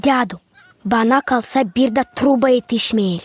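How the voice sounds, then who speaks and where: A woman's voice: fairly high pitch, normal speed, slightly rough, dry recording. A woman playing a childlike young animal band member in a cartoon. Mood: eager.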